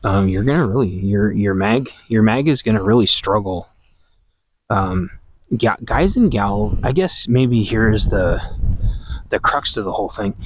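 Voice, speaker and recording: A man in a rambling casual monologue outdoors in a yard, keeping his voice low and quiet, not projecting, with many restarts. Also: animated, unhurried, dry recording, low-quality recording. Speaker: prepping vlogger. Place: outdoors in a yard, watering trees.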